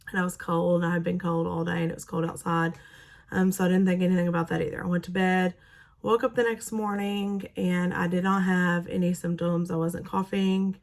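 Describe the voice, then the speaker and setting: A young woman speaking in a naturally raspy voice that sounds even more hoarse now she is sick. Her pitch sits a little low. She rambles casually with lots of ums and restarts. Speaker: teacher. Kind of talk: personal vlog recounting illness.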